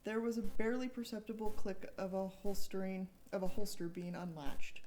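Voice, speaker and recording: A woman's voice: medium pitch, steady tone, normal speed, warm tone, slight background noise. A woman giving a dramatic, informal reading of a thriller novel as one of its authors. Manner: informal, breaks off to joke and correct slips. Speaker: co-author reading from own book.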